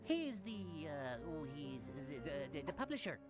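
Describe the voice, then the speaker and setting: Flustered, animated sidekick. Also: mid-range voice, unhurried, loud music behind the voice. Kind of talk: video game cutscene acting.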